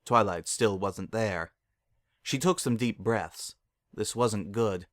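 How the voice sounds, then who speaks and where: A man's voice: on the low side, slow, no room sound. A man narrating a story as a dramatic reading.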